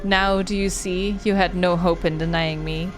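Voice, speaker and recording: A woman's voice: fairly low pitch, steady tone, normal speed, soft background music, lightly acted. A woman voicing a malevolent female monster in a horror visual novel, venomous and contemptuous, making threats. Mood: gloating.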